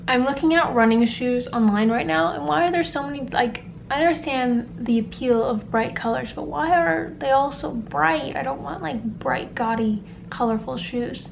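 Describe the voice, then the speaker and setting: A young woman talks into a handheld compact camera, chatty and upbeat, with a voice that sits a little high. Her talk rambles loosely and is full of "likes." A faint hum of room ambience lies under her voice. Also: normal speed, gravelly, hardly any pauses, rough recording. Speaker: vlogger. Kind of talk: casual vlog chat. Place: at home and out and about.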